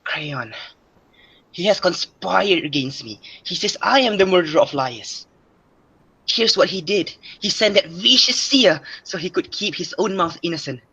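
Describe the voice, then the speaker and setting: A young man playing a proud, suspicious, quick-tempered king of ancient Greece in an amateur radio drama. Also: high-pitched, animated, pushed and bright, slightly rough, dry recording, heavily acted. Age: young adult. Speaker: student actor. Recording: dull lo-fi recording.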